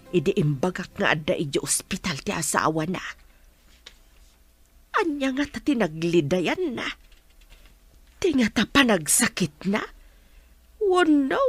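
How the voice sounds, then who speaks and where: An actress with an Ilocano accent playing a gossipy, suspicious woman fretting over family affairs in a radio drama, with exclamations and drawn-out sighs. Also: on the low side, breathy edge, dry recording, full character voice. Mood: suspicious, musing.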